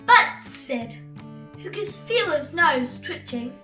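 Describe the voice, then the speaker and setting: A woman reading a children's storybook aloud as host, in a theatrical, exclamatory way with drawn-out emphasis. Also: sits high, big pitch swings, bright, forceful tone, distant mic. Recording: roomy echo, off-mic, music bed.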